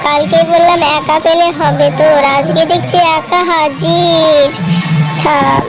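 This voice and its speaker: Woman as a high-pitched jealous ghost queen. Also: steady tone, slow pace, rough recording. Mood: jealous, menacing. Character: jealous, vengeful, cackle-loving female ghost queen. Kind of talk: Bengali children's cartoon dialogue. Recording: loud music under the voice.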